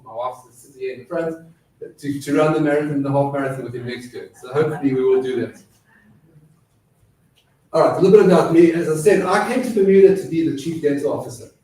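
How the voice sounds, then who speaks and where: A man's voice: on the high side, warm tone. Middle-aged south african guy with some british in his accent, chatty guest lecture, um-heavy, sounds far off the mic in a big echoey room. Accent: South African with British influence. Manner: chatty, self-deprecating, frequent fillers. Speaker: guest speaker. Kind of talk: lecture presentation.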